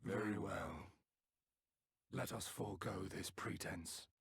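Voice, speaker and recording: An actor playing an ancient, cold and condescending emissary in a fantasy game, speaking in measured, formal, archaic phrases. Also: male voice, deep voice, slightly rough, no room sound, studio quality, exaggerated voice. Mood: cold, revealing. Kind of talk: dramatic fantasy video game acting. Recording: music behind the voice.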